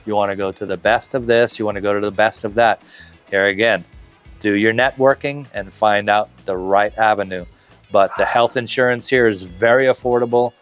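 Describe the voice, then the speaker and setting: A man in his fifties, speaking casually and at length in an interview, with fillers and restarts. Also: lowish voice. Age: 50s. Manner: relaxed, talkative, lots of fillers and restarts. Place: outdoor covered patio, tropical garden.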